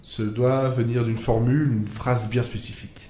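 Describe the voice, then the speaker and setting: A man's voice: mid-range voice, fairly even intonation, normal speed, dark tone, a little hiss or hum, natural voice. A man playing a bewildered, sarcastic storyteller in a comic audio fiction, rambling in the first person, interrupting himself and addressing the listener. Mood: scheming, hopeful. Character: bewildered, sarcastic male storyteller stranded inside his own wild ideas. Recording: music bed behind the voice. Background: music.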